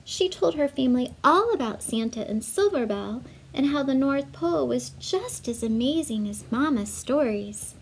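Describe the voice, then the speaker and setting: A woman reading a children's story aloud in an expressive, measured way, with asides to young listeners. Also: high-pitched, slight background noise, rough recording. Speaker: storybook reader for schoolchildren.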